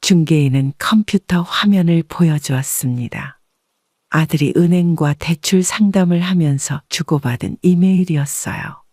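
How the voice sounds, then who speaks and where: A woman reading a first-person story as an audiobook narrator in a measured, composed way. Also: fairly low pitch, darkish tone, no room sound, clean studio sound.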